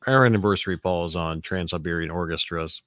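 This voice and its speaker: A middle-aged man, his voice a little raspy, hosting a podcast and telling a story in a rambling way, repeating his words. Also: low-pitched, a little fast, fairly bright tone, dry recording, clean studio sound. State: slightly raspy voice. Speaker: podcast host. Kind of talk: casual storytelling chat.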